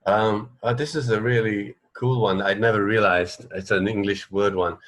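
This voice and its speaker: A man co-hosting a radio show, chatting casually in an informal, halting way. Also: fairly low pitch, fairly even intonation, a bit bright. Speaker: radio co-host. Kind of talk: casual chat.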